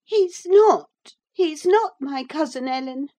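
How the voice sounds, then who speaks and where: A woman voicing a spoiled, imperious young girl in an audiobook, petulant and quick to take offence. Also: high voice, animated, a little mellow, pitched above the performer's own voice. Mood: distressed. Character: haughty, indignant young girl, spoiled and tearful. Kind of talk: audiobook character voice.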